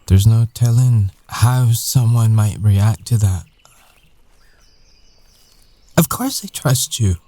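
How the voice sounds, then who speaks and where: Man acting a very dark-voiced, hesitant werewolf ex-boyfriend. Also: lowish voice, animated, no room sound. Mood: defensive, earnest. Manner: halting, hesitant, earnest, with long pauses. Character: guarded werewolf ex-boyfriend, young adult man, shaken, earnest, secretive. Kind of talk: intimate ASMR boyfriend roleplay acting.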